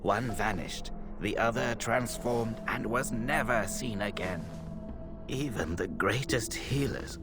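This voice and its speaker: An actor playing a grave, scholarly male healer in a video game, speaking in a solemn, measured, formal manner. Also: fairly low pitch, loud music behind the voice. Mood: sorrowful, grave. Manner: solemn, measured, formal old-fashioned phrasing. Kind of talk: video game cutscene acting.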